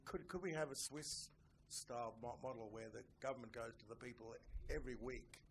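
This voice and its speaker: Male audience member, creaky mid-range voice, polite halting question at a live conference. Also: mid-range voice, slight background noise. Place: indoor conference session.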